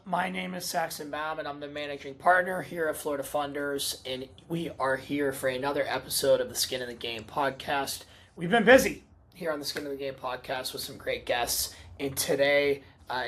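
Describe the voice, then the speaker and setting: A man's voice: a little high, a bit bright. Upbeat male podcast host. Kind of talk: podcast interview.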